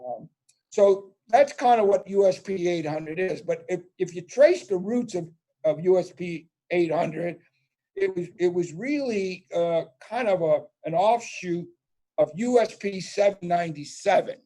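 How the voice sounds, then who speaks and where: High-voiced man explaining on a call. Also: measured pace, warm tone. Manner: explanatory, many fillers and restarts. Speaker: presenter. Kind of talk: webinar presentation.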